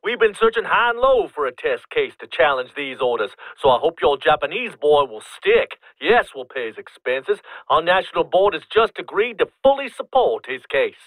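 An actor playing a formal, cautious male official who speaks in measured, apologetic tones. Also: a little high, big pitch swings, fairly bright tone, dry recording, pitched above the performer's own voice, poor audio. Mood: businesslike.

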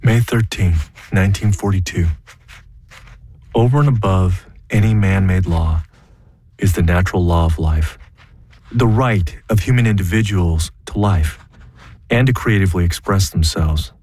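A man playing a principled young Japanese American student, narrating his own story in earnest, measured theatrical first person. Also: sits low, a little mellow, no room sound. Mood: resolute. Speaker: narrator and lead in a one-man play.

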